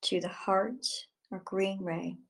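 Female meditation guide, very slow and level in delivery, soothing, hushed at phrase ends. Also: mid-range voice, fairly even intonation, vocal fry.

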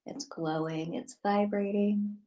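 A woman leading a guided meditation, giving soothing spoken instructions in short phrases that sometimes drop to a hush. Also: mid-range voice, steady tone, slow pace.

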